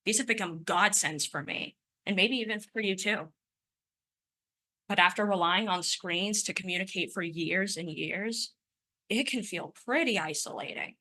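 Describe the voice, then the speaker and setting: A young woman in her twenties delivers a prepared personal talk in a bright, forward voice. She speaks with a stutter, so sounds sometimes repeat before she pushes on. Her delivery stays earnest and emphatic. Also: mid-range voice, moderate pace. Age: 20s. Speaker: conference speaker.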